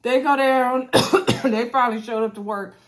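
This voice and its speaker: A congested woman, recapping a reality show to her viewers in a chatty, animated way, often saying "y'all". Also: on the high side, moderate pace. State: congested, coughing.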